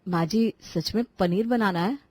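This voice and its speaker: A woman's voice: mid-range voice, normal speed, warm tone, dry recording, natural voice. Woman as a cheeky, sarcastic young daughter-in-law. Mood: mock innocent. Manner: sarcastic, mock-innocent needling. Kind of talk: animated family drama voice acting in Hindi.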